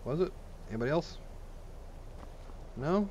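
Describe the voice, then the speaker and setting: A man's voice: fairly high pitch, expressive, unhurried, a little mellow, dull audio. A man giving casual let's play commentary, informal and crude, thinking aloud as he goes. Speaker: gaming video host. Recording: game ambience and noise behind voice. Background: video game sounds.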